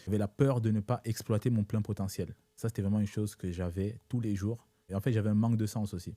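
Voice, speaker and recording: A young man with a low, mellow voice talks through his story in a steady, measured way, often checking in with "ok?" as he goes. French is not his first language. The sound is close and dry. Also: dry recording. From born in Italy, raised in Africa. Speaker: guest entrepreneur. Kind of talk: casual interview talk.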